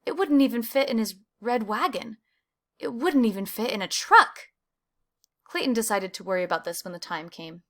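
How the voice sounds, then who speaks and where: Higher-voiced woman reading a children's story. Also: normal speed, fairly bright tone. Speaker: storybook reader. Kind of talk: expressive children's picture book read-aloud.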